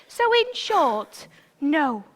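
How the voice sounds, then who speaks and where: A woman's voice: sits high, very animated, drawn-out words, exaggerated voice. A woman playing a feisty, outspoken young princess in a live stage pantomime, theatrical and emphatic. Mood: scornful.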